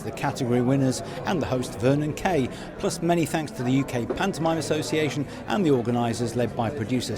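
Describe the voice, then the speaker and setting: A man hosting a podcast, narrating and chatting with a guest at an awards night. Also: mid-range voice. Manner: upbeat, chatty interviewer. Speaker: podcast host. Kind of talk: narration and interviewer at an awards event. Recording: music and crowd noise behind. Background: crowd chatter and music.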